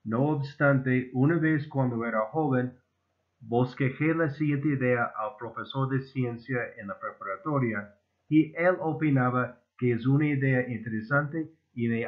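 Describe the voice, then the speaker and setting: A man who speaks as a second-language speaker, reading a formal scripted lecture in a deliberate, slightly stumbling way. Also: mid-range voice. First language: not Spanish (Spanish is his second language). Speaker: amateur inventor presenting his own proposal. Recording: muffled, phone-like narrow band.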